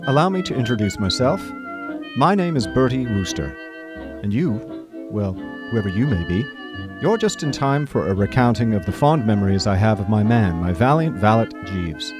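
A man playing a genial, foppish young gentleman narrating fond memories with pompous, effusive manners in a radio drama. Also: on the low side, animated, moderate pace, natural voice. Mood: cheerful, fond. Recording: music behind the voice.

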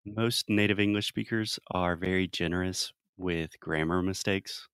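A man's voice: low-pitched, fairly even intonation, measured pace, a bit bright, smooth, no echo, clean studio sound. A man from South Carolina with an American accent, an English teacher chatting casually as an interviewer. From South Carolina, United States.

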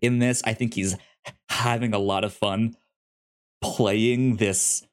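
A podcast co-host of unclear sex chatting casually about films in a halting, repetitive way with many false starts, sometimes excitable. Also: medium pitch, clean tone. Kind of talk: casual film review chat.

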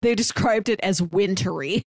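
A woman's voice: mid-range voice, a little slow, no room sound, clean studio sound. A woman co-hosting a podcast, explaining things in casual, conversational talk. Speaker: podcast co-host. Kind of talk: casual film discussion.

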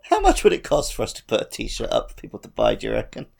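A man's voice: mid-range voice, huge pitch swings, fast talker, creaky, darkish tone, husky. A man co-hosting a casual gaming podcast with wry, joking banter. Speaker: podcast co-host. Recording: faint music behind the voice.

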